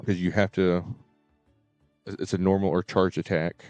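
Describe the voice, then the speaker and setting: A man talking casually as a game streamer, in a halting way with repeated and stuttered words. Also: deep voice, flat delivery, a little mellow, clear, resonant tone, no echo, highs cut off, faint music behind.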